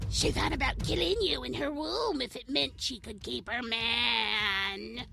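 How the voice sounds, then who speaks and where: A woman playing a cruel, vicious hag demon in an audio drama, taunting with drawn-out menace. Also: on the high side, vocal fry, bright, forceful tone, faint music behind, exaggerated voice. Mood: sly taunting. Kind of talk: theatrical audio drama acting.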